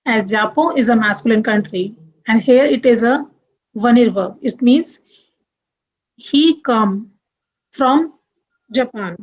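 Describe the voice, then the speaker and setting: A young woman gives a grammar lesson at an unhurried, measured pace. Her voice sits a little high, and she spells out letters one by one. She explains each example patiently. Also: lo-fi sound. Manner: slow, deliberate, spells out letters. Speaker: French teacher.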